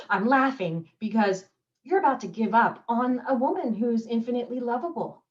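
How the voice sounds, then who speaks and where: An actress playing a wry, heartbroken wife. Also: female voice, on the high side, moderate pace, dull audio, lightly acted. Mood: pleading. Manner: deadpan wit over heartbreak, nervous laughs. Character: desperate wife clinging to her husband, wry and joking. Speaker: community theater actress. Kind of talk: stage monologue performance.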